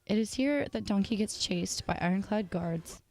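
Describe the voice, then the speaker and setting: A woman reading a scripted analytical video essay in a measured, formal way. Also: mid-range voice, expressive, creaky.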